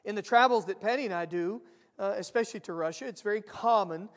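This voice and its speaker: An American man preaching a sermon to his church, earnest and repetitive, swelling loud on key lines. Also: high voice, quick pace, in a large hall. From United States. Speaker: pastor. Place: church sanctuary.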